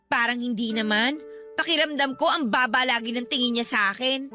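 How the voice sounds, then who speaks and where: A hurt eighteen-year-old daughter in a radio drama. Her voice is high and sharp, pleading and stubborn as she longs for independence, with faint music underneath. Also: fairly quick. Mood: hurt, plaintive. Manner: pleading, plaintive. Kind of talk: Tagalog radio drama acting.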